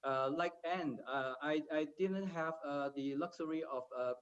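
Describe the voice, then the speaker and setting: Halting man on distant, muffled audio. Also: fairly high pitch. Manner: halting, many filler sounds. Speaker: panelist. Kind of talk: career panel discussion.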